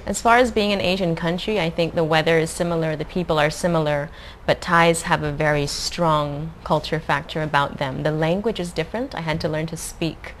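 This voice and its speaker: A young adult woman from the Philippines, a guest answering interview questions in a calm, measured way. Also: deep voice. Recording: faint background noise.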